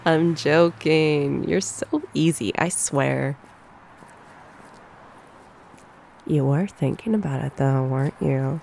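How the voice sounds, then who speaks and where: A woman playing a teasing, caring succubus teacher in a soft ASMR roleplay on a close binaural microphone. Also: deep voice, measured pace, vocal fry, a little hiss or hum. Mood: playful teasing. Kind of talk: ASMR roleplay, one-sided conversation.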